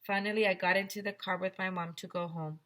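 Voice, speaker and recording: A woman teacher reading a story aloud to children with expressive, emphatic narration. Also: medium pitch, quick pace, a little hiss or hum.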